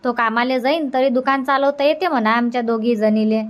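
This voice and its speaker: Give the role to a woman acting as an older village mother in a comedy cartoon. She has a high voice and a Varhadi Marathi accent. Her manner is homely, polite and practical, with plain colloquial wording. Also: steady tone, a little fast, natural voice. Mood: matter-of-fact. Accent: Varhadi Marathi from Vidarbha.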